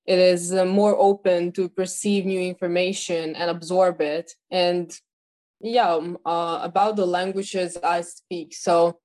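A woman's voice: fairly low pitch, little pitch movement, darkish tone. An eighteen-year-old woman from Ukraine, a native Russian speaker, answering interview questions with many fillers and restarts. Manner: quick, with frequent fillers and restarts. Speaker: podcast guest, polyglot.

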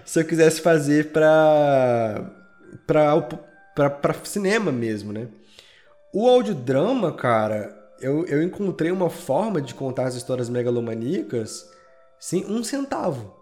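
Brazilian guy on a podcast, excited and rambly, lots of slang, faint music behind. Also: a little high, unhurried. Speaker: podcast guest, audio drama creator and editor. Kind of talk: casual interview chat.

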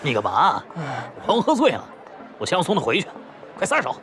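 An actor playing a cowardly, sleazy, whining thug in a Chinese audio drama. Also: male voice, on the high side, huge pitch swings, fairly quick, music in the background, crowd noise. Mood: flustered, evasive. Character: cowardly sleazy lowlife thug, cringing and whiny.

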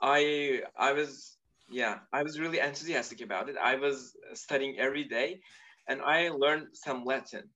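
Man, bright lo-fi voice. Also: mid-range voice, moderate pace. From Turkey. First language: Turkish. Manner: thoughtful, explains at length with many fillers. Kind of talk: casual video call chat.

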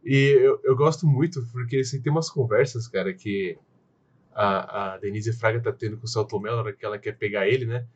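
Husky-voiced brazilian man chatting. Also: fairly low pitch, fairly quick, highs cut off. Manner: animated, quotes film lines in put-on voices. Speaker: podcast co-host. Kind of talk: casual film review chat.

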